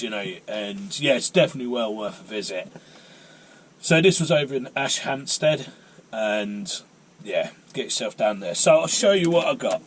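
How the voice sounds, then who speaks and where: A man's voice: lowish voice, fairly bright tone, slight background noise. A man, hosting a casual vlog and chatting in a rambling, self-mocking way. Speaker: vlogger. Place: outdoors and in a car on a rainy day.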